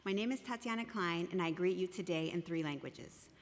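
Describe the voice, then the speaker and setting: A woman whose first language is Russian, a professor reading prepared testimony in a measured, formal way in a council chamber. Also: lowish voice. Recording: long reverb of a large hall.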